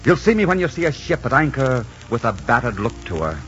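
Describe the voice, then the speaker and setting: A cocky, roguish pirate lover with a bright, forceful voice. His delivery is theatrical and teasing, swinging widely in pitch. It sounds like a dull 1940s radio broadcast. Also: very animated, brisk. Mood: bold farewell. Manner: theatrical, flirtatious. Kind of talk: 1940s radio romance acting. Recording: lo-fi 1940s radio, music behind.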